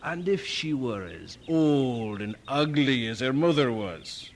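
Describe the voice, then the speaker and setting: Fiery Scottish preacher, proud and stern. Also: male voice, medium pitch, animated, slow pace, a little hiss or hum. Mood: accusing. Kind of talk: radio drama acting.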